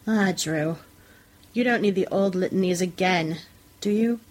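A woman voicing a teasing, devoted male vampire lover with a fond, gently chiding manner in a story reading. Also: fairly low pitch, a little slow.

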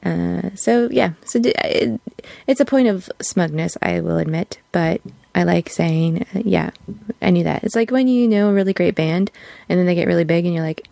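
A woman's voice: fairly low pitch, moderate pace, gravelly, dark tone, no room sound. A woman hosting a podcast in casual, informal chat, acting out imagined remarks as she goes. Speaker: podcast host. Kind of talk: casual chat introducing a fan fiction reading.

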